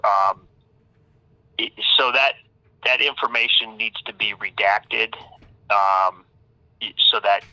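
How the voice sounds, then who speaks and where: A man's voice: lowish voice, pushed and bright, no echo. A man leaving an informal voicemail as an attorney, stammering and repeating words, recorded over a telephone line. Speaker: public defender attorney.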